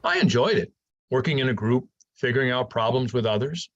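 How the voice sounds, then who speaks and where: Older male professor, played by a man: husky, very varied intonation, patient mentor with gentle wit, stage drama, slightly dull recording. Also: medium pitch, lively intonation, brisk. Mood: wistful, amused. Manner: patient, explanatory, conversational. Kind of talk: staged reading of a science fiction play.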